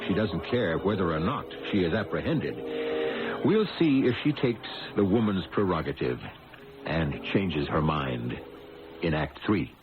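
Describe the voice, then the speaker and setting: A man's voice: low voice, big pitch swings, unhurried, noisy background. A man narrating an old radio mystery as host in a wry, florid, theatrical manner. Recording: tinny old radio recording. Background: loud music.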